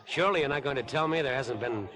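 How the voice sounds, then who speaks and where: An actor playing a smooth, eccentric young man obsessed with murder in a 1950s radio drama, with a glib, insinuating charm. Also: mid-range voice, quick pace, a crowd in the background, close to an everyday voice. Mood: sly, insinuating. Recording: vintage 1950s radio broadcast with music.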